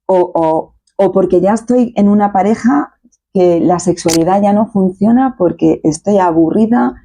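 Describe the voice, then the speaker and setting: A woman's voice: medium pitch, moderate pace, mellow, a little hiss or hum. A woman with a Manchego Spanish accent, co-hosting a casual podcast conversation and making her points emphatically. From Castilla-La Mancha, Spain. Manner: emphatic, agrees constantly while the other talks. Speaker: podcast co-host.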